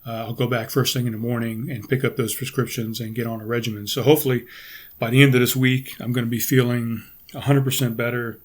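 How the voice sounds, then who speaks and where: A middle-aged man talks casually on his own podcast, his voice raspy and hoarse from congestion. His pitch sits in an ordinary middle range. He rambles, restarts his sentences and keeps clearing his throat. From United States. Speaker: podcast host. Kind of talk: casual solo podcast talk.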